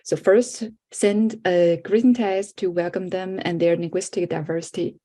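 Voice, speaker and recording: A young woman who speaks Chinese as her first language gives a conference presentation in English. She talks in a slightly low, warm, soft tone. Her delivery is halting, full of fillers and restarted words. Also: steady tone. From China. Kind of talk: online workshop presentation.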